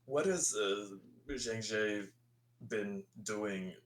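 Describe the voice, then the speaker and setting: A man playing a tabletop roleplaying game, speaking casually in character in a halting, hesitant way with many fillers. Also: on the low side. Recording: faint background noise and hum.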